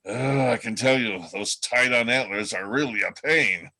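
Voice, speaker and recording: Guy doing a chatty reindeer in a radio play, bright voice, folksy and a bit halting, kinda jaded. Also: mid-range voice. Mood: amused storytelling. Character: chatty, world-weary male reindeer telling old North Pole gossip. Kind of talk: radio play reading by amateur readers.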